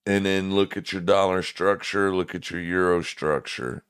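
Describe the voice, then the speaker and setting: A man's voice: deep voice, fairly even intonation, moderate pace, a bit bright, clean tone. A man with a smoker's voice giving casual, laid-back live market commentary to viewers as a streaming trading host.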